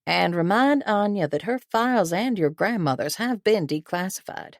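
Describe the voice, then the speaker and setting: A woman reading the part of a formidable older female intelligence director in measured, composed tones. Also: medium pitch, lively intonation, no room sound, clean studio sound, close to an everyday voice. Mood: authoritative. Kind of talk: audiobook character reading.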